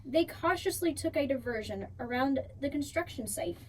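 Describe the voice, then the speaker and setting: A woman reading a picture book aloud for children in an expressive storytelling style, drawing out key words. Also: sits high, normal speed. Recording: distant mic, faint background noise.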